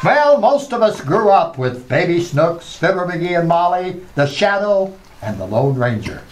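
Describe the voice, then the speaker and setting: A man's voice: high-pitched, sing-song, a little slow, rough recording. A man working as show announcer, delivering a scripted radio show introduction.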